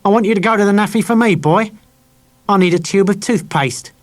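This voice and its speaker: Casting: male reader as haughty, sneering corporal for a children's audiobook; thin, higher-pitched voice that carries, cold and officious. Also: a little fast, natural voice. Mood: bossy. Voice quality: thin, weak but carrying.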